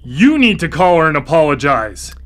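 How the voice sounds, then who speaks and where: A man's voice: fairly high pitch, very animated, moderate pace, fairly bright tone. A male actor in a radio play playing a dependent, easily rattled brother with a hesitant, emotional delivery. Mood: uneasy. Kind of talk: live radio play acting.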